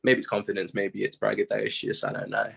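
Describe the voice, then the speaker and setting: A young British man with a low-pitched, bright and forward voice. He chats casually, like a musician in an interview, using slang freely and reflecting candidly on himself. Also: monotone, a little fast, creaky, breathy edge. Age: late teens.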